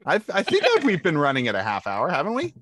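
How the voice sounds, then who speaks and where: A man's voice: a little high, wildly swooping pitch, fast talker, pushed and bright, no echo, studio quality. A man running a tabletop game as game master over a video call, laid-back and teasing, laughing easily.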